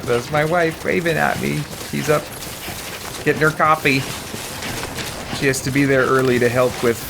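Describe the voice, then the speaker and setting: A man's voice: medium pitch, gravelly, background noise. A man chatting casually on a gaming livestream, thinking aloud in a rambling, hesitant way and sounding tired late in a marathon. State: tired near end of all-night marathon. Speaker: game streamer.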